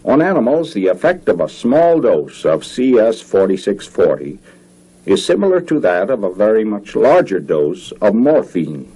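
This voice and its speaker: American man, dark husky tone, formal documentary narration, measured phrasing, careful enunciation, 1950s educational film soundtrack. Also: fairly low pitch, sing-song, poor audio.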